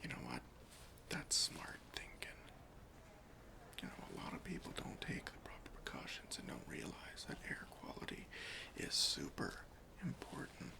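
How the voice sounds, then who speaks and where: An officious chief security officer acted out by a man in an ASMR roleplay. The voice is whispered and creaky, with pitch that swings up and down. The questioning is deliberate and mock-stern, yet polite and open to persuasion. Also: wildly swooping pitch, full character voice. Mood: sympathetic, approving. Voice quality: whispery, breathy.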